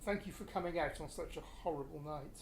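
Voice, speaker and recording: A man's voice: fairly high pitch, fast, lightly acted. A British actor playing a stunned middle-aged father, hesitant and understated, in a radio play. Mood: stunned, subdued. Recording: echoey room with faint noise.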